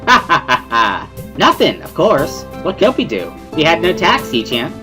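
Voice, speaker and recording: A man voicing a bubbly, playful, energetic teenage schoolgirl in an amateur visual novel reading. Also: fairly high pitch, moderate pace, voice pushed up. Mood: teasing. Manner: playful, cheery, exclaiming. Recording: music behind the voice.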